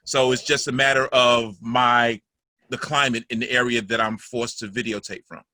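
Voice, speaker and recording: A man with a medium-pitched, bright voice talks casually in a dry-sounding room. His delivery is deliberate and halting as he explains himself. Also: level tone, no echo. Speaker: actor and rideshare driver.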